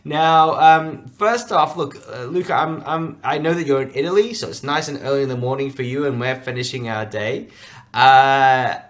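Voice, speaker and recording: An upbeat man hosting a podcast, chatting in a relaxed, casual manner and leaning often on "um" and "look". His voice sits at an ordinary pitch for a man, and he sounds like he is on a remote call. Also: normal speed. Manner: upbeat, chatty, with many fillers. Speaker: podcast host. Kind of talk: casual interview chat.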